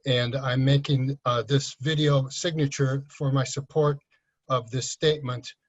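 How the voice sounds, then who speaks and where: An older man, a retired counselor, giving a halting video statement with many fillers. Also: mid-range voice, little pitch movement. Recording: muffled lo-fi recording.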